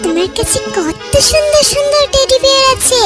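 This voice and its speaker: A sweet little cartoon girl who wheedles and coaxes. The pitch is extremely high, and loud music plays behind. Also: animated, a little fast. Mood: wheedling. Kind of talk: children's cartoon voice acting.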